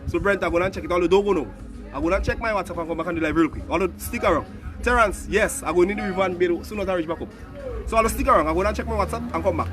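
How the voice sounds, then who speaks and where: Grenadian man, hoarse from a cold, high voice, joking live-stream chat, faint music behind. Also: racing. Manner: joking, teasing viewers for money, bursts into sung lines.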